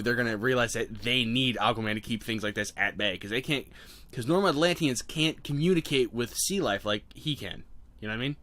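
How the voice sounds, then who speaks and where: A man's voice: mid-range voice, fairly bright tone. A man, not a native English speaker, brainstorming in a casual, profane chat and laughing often. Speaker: co-host of a writing session.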